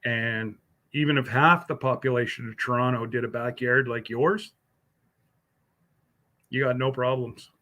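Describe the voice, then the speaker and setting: A man's voice: mid-range voice, steady tone, a little slow, pauses often, bright, forceful tone. A man hosting a casual live stream, rambling along as he answers viewers by name, with many fillers and restarts. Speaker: livestream host.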